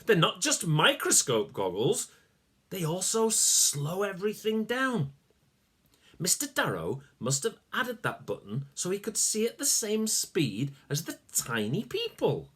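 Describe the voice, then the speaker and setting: A man voicing an excitable young boy hero in a storybook reading. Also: high-pitched, a little slow, slightly rough, voice pushed up, exaggerated voice. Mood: excited.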